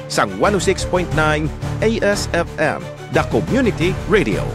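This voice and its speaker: A male announcer introduces a radio drama with theatrical flair. His fairly high voice swings dramatically up and down over prominent music. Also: big pitch swings, measured pace.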